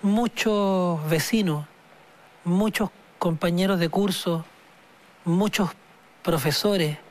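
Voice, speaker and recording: Casting note: man in his forties, high-pitched, reflective and halting, interview guest. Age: 40s. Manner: slow, thoughtful, halting with many restarts. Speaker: singer-songwriter guest.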